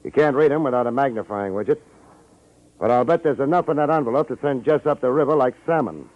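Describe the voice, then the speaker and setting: A man's voice: mid-range voice, fast, natural voice. An American man acting a hard-boiled, wry private detective in a 1950s radio drama, narrating in slangy style. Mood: cool, wisecracking. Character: wisecracking hard-boiled male private detective, cool under threat. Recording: dull old radio recording, music behind.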